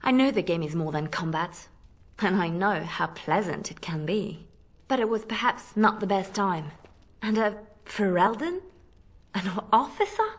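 A woman's voice: mid-range voice, animated, unhurried. Earnest, anxious young woman spy. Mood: gentle, reproachful. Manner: earnest, pleading, hesitant. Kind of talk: video game voice acting.

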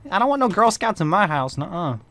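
A man's voice: sits high, big pitch swings, quick pace, fairly bright tone, heavily acted. A man playing a bitter, proud, suspicious warlock leader in an amateur game roleplay, brooding dramatically and snapping back with sassy slang. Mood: sassy, irritated.

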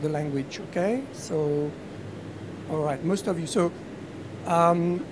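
A man lecturer welcomes new language students in a slightly high voice. He hesitates and repeats words as he goes. A rumbling noise runs under the recording. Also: slow. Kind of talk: welcome speech. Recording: noisy lecture room with rumble.

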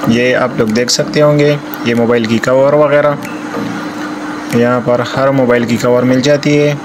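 A young man from Pakistan narrating a casual vlog at an outdoor market, talking in a halting way with many fillers and pauses. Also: mid-range voice, steady tone, background noise. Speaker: vlogger. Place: outdoor market, then indoors at end. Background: background music.

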